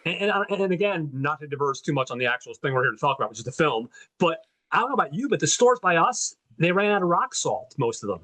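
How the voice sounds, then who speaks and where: Upbeat podcast man, slightly high. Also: big pitch swings, quick pace, hardly any pauses, a bit bright, rough recording. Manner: upbeat, enthusiastic, repeats words when starting. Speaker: podcast co-host. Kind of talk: casual chat.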